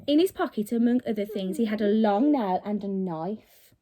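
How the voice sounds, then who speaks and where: A woman's voice: medium pitch, expressive, normal speed, warm tone. A woman reading a children's story expressively, with dramatic, emphatic delivery, like a teacher reading to pupils.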